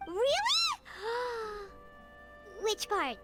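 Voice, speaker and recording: A woman voicing a small, eager, childlike floating companion in an animated game, with a squeaky voice. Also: drawn-out words, full character voice. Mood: surprised. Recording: game music behind the voice.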